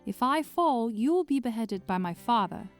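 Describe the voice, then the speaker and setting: An actress voicing a curious, eager young princess with a playfully haughty edge, in an audio drama. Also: female voice, a little high, lively intonation, normal speed, faint music behind, natural voice. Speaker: voice actor.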